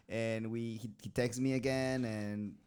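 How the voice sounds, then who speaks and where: An Ecuadorian man hosts a relaxed podcast. He talks with excitable energy and laughs often. His voice jumps in loudness from word to word. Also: mid-range voice, slow pace, smooth. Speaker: podcast host. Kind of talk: casual podcast chat.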